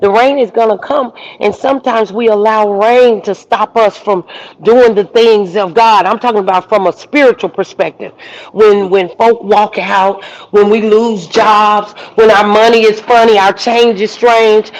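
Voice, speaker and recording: A woman minister hosting a livestream, preaching outdoors in the rain with repetition and rising shouts. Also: mid-range voice, steady tone, measured pace, muffled recording. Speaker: minister and show host. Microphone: phone livestream.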